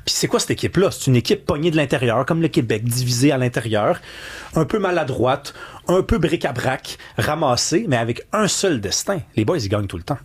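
A man's voice: mid-range voice, expressive, fairly quick, slightly rough. A man with a Québécois French accent, talking conversationally and emphatically as a commentator, telling stories and quoting people. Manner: earnest, emphatic storyteller who acts out quotes.

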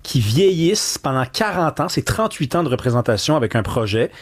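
A man's voice: mid-range voice, big pitch swings, a little fast. A man with a Québécois French accent, talking conversationally and emphatically as a commentator, telling stories and quoting people. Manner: earnest, emphatic storyteller who acts out quotes.

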